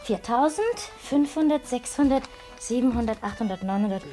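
Actress as a high-voiced young shop woman. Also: lively intonation, moderate pace, dark tone, lightly acted. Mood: brisk, polite. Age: young adult. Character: brisk, obliging young daughter-in-law serving in shop. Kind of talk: vintage German radio play acting. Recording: old radio play with music behind.

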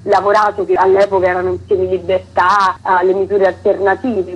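A woman's voice: mid-range voice, husky, rough recording. A woman being interviewed on the radio as a theatre director, explaining earnestly in long, fluent sentences.

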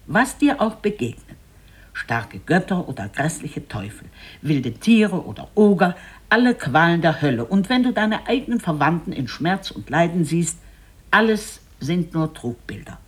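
A solemn old sage in a storybook tale, voiced by a storyteller. He instructs gravely and with measured emphasis, in a husky voice that rises and falls widely. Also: medium pitch, very animated. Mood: solemn warning.

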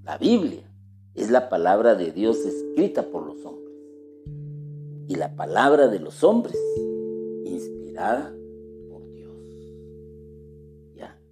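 Slow-spoken man teaching over guitar music. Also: lowish voice, sing-song. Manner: slow, deliberate, speaks directly to the listener. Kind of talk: religious teaching talk. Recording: guitar music playing behind the voice. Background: plucked guitar music.

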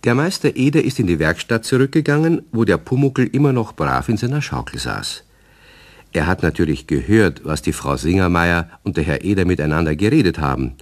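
A man's voice: deep voice, animated, fairly quick. A man narrating a scripted children's radio play as the storyteller. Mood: calm narrating.

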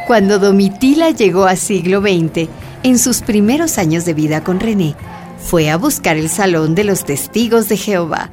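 A woman's voice: mid-range voice, animated, moderate pace, music in the background. A woman narrating a scripted radio drama as its storyteller.

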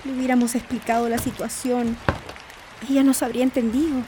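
A woman's voice: a little high, little pitch movement, a little fast, close to an everyday voice. A woman playing a hurt, reproachful woman confronting her old love in a radio drama, earnest. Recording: faint noise, rain ambience. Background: rain falling.

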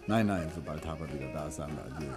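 An actor playing an urbane, dryly amused crime novelist and husband in a 1950s German radio play, polite and wry. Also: male voice, rumbling low, very fast, music in the background.

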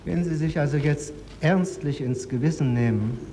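A man questioning a defendant as a judge, measured, insistent and formal, on an archival courtroom recording. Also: fairly high pitch, warm tone, big-room sound, slight background noise. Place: large courtroom.

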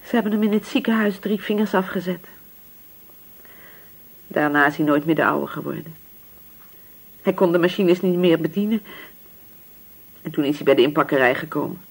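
A poor working-class widow in a radio drama. She sounds husky and anxious, grieving and submissive, often hesitating over her words. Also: low voice, normal speed, pauses often. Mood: subdued, sad.

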